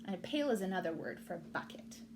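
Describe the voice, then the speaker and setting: A woman's voice: on the low side, very animated, fast talker, darkish tone, slight background noise. A woman who works as a teacher, reading a picture book aloud to young children and explaining things simply.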